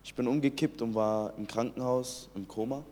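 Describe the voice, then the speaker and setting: A man's voice: mid-range voice, normal speed, a little mellow, big-room sound. A young man from Iran in his late teens, telling his personal faith testimony earnestly, often restarting his sentences. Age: young adult. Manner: earnest storytelling with many restarts.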